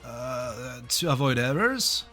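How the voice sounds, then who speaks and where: A man's voice: medium pitch, very animated, takes it slowly, bright, forceful tone, soft background music, full character voice. A man voicing a nervous, deferential lab subordinate in an amateur fan dub, with a hesitant manner.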